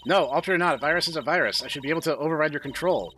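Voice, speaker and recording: A man's voice: on the high side, rapid-fire, a bit bright, slight background noise, natural voice. A man voicing a proud, stubborn female antivirus program from a game, with a clipped, haughty manner. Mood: defiant. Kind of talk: reading visual novel dialogue aloud.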